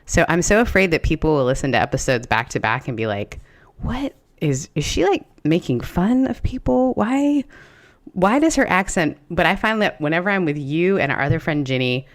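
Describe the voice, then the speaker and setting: Low-voiced chatty woman podcast host. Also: big pitch swings, moderate pace. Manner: chatty, laughing, many fillers and restarts. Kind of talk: casual chat.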